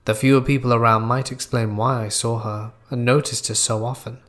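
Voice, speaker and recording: A man with a medium-pitched voice narrates a horror tale in the first person. His delivery is scripted and measured, with a dramatic storyteller's manner. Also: little pitch movement, fairly bright tone.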